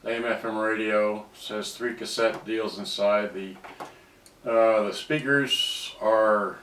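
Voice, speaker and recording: A man's voice: on the low side, fairly even intonation, slow pace, fairly bright tone. A man giving a casual hands-on product demonstration, rambling and often trailing off mid-sentence, picked up by a distant microphone. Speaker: cassette player restorer presenting his own items. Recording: roomy, distant microphone with background noise.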